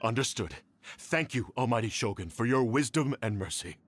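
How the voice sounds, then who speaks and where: A man's voice: medium pitch, lively intonation, moderate pace, lightly acted. A man voicing a deferential male court official in a video game, formal and submissive.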